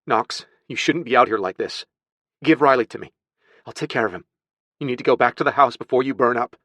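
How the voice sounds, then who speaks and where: A man voicing a distraught, stern aunt in an audiobook, speaking with sharp, firm emotion. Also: medium pitch, brisk, no echo, voice pushed up, close to an everyday voice. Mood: urgent worry. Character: distraught, stern young aunt scolding a little boy.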